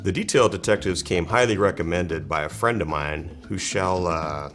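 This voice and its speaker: A man acting as a skeptical company executive in a mock ad testimonial, measured and wry, with music behind him. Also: deep voice, lightly acted. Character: skeptical male company executive, middle-aged. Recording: music bed under the voice.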